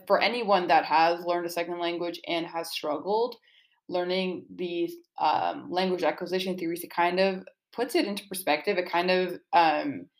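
A young woman giving informal, explanatory interview answers in a casual, earnest way, often dropping "like" into sentences. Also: fairly low pitch. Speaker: student poet being interviewed about own poem.

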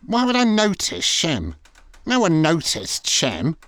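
Officious, animated pedantic man. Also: fairly high pitch, takes it slowly, no room sound, heavily acted. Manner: pedantic, clipped, humourless. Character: officious, pedantic animal keeper obsessed with schedules. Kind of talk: comic audio drama acting.

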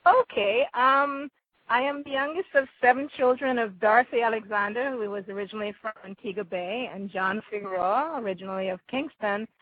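A woman's voice: on the high side, a little fast, breathy edge, no echo, narrow, tinny audio. A woman with a Jamaican accent giving thoughtful, conversational answers as an interview guest.

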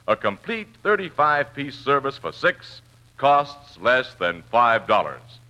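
A male announcer delivers a vintage radio commercial. His mid-pitched voice is bright and animated, with polished, emphatic salesmanship. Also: measured pace, muffled recording. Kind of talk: 1940s radio commercial read.

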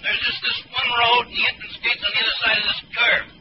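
Brash cabbie, high lively voice, blunt, impatient, old radio drama, noisy recording. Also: male voice, fast, muffled recording, exaggerated voice. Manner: blunt, colloquial. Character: brash, impatient male cab driver. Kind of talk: 1940s radio thriller acting.

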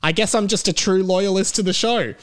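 Higher-pitched Australian man hosting a podcast. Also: brisk, smooth. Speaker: podcast host. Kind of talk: casual comedy podcast chat, reading out listener mail. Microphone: remote video call recording.

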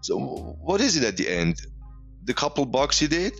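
A man in his forties from Iran with a Persian accent, talking casually and haltingly as a podcast guest, often repeating words. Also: fairly high pitch, sing-song, highs cut off, over music. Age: 40s. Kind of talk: casual conversational interview.

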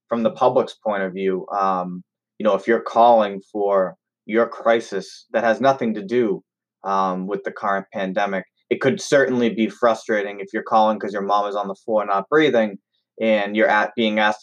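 A man interviewing in a conversational, measured way, framing his questions with empathy. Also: on the low side. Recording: slightly dull lo-fi audio.

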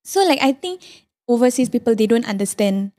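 A woman's voice: on the high side, expressive, brisk, darkish tone. A young woman from Singapore with a Singaporean English accent, talking casually in a group chat and repeating words as she thinks. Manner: chatty, laughs often, repeats words. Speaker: discussion participant.

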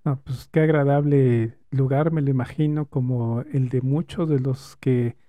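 A man's voice: medium pitch, fairly even intonation, a little slow, dark tone, no echo. A man hosting a podcast interview, asking questions in a friendly way with hesitant fillers. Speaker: podcast host. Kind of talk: casual interview.

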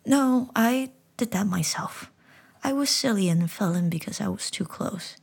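A timid little boy who trips over his words and pushes his voice up high and eager, as a woman storyteller plays him. The voice is husky and gravelly, with animated rises. A storybook child. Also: measured pace, exaggerated voice. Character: shy young boy, timid and stammering.

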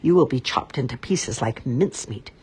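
A sly talking cat from a fairy tale, as the storyteller puts him on, bold and commanding with a crafty edge. The voice is breathy and husky, lifted a little above an ordinary middle register. He barks orders and flatters royalty in the same confident tone. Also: medium pitch, normal speed. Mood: threatening. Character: clever scheming talking cat.

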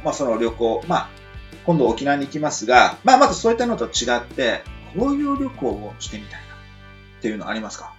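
Animated, joking male podcast host. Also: mid-range voice. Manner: joking, playful, rambling with hesitations. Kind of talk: casual chat, light banter. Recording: dull lo-fi audio with faint music.

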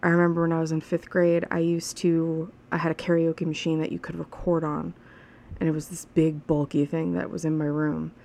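A woman's voice: low voice, level tone, moderate pace, vocal fry, warm tone. A woman in her thirties hosting a casual solo podcast, rambling and chatty, frank and swearing now and then. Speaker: podcast host. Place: alone at home.

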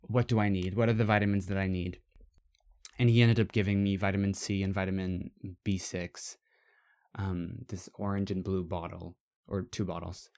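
A young man in a relaxed, rambling storytelling mode, co-hosting a podcast. His voice sits fairly low. He keeps circling back and restarting his sentences, with a quiet laugh now and then, and English is not his first language. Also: normal speed. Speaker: podcast co-host. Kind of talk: casual storytelling.

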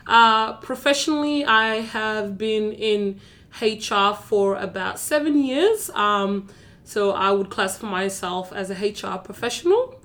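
A woman from South Sudan speaks as a podcast guest in an earnest, thoughtful way. Her pitch sits in a middle register for a woman. She comes across as proud of what she does. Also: expressive, unhurried, fairly bright tone. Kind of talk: conversational interview.

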